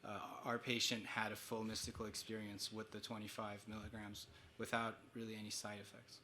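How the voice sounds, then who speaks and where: A man answering as a clinician on a conference panel, measured and explanatory, with frequent fillers. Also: fairly low pitch, monotone, bright, forceful tone, a little hiss or hum.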